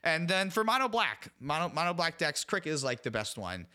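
Lively, bright-voiced male podcast co-host. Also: a little high, moderate pace. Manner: joking, laughs often. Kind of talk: casual gaming podcast chat.

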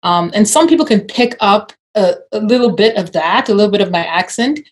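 A woman's voice: medium pitch, lively intonation, a little fast. Reflective woman from Uganda. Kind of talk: casual chat.

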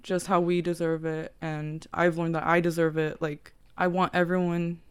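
A woman's voice: fairly low pitch, little pitch movement, moderate pace, clear, resonant tone. A young woman co-hosting a casual podcast, talking earnestly and encouragingly, with the odd swear word. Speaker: podcast co-host. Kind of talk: casual chat.

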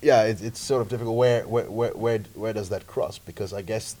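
A man's voice: fairly low pitch, steady tone, brisk, dark tone. A man in a casual podcast chat who talks thoughtfully and weighs both sides of a question.

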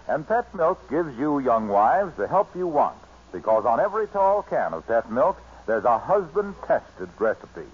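A man's voice: medium pitch, breathy edge. A man with an American accent reading scripted 1940s radio advertising as a polished, emphatic announcer. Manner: polished, emphatic sales delivery. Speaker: radio announcer, sponsor pitchman. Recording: dull vintage radio recording.

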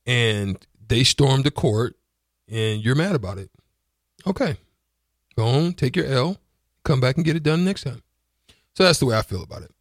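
A man with a raspy voice co-hosting a sports talk show in a casual, colloquial way, repeating phrases for emphasis. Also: medium pitch, animated, normal speed, pauses often, dry recording, studio quality. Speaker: sports talk radio co-host.

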